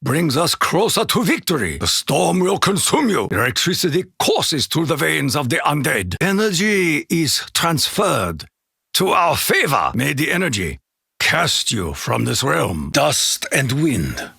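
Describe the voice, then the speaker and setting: An actor playing a solemn, proud Japanese warrior in a video game, with a gruff voice, a Japanese accent and grave, formal, archaic phrasing. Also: male voice, a little high, sing-song, unhurried, sharp tone, no echo, clean studio sound, exaggerated voice. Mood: menacing. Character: solemn, proud Japanese warrior soldier, honor-bound and menacing. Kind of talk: video game character battle lines.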